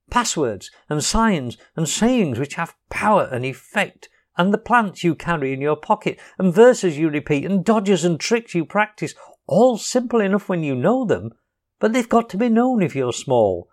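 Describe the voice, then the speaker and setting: A man's voice: high voice, big pitch swings, unhurried, voice pushed up. A man voicing a brave, good-natured male water rat who talks heartily and reassuringly.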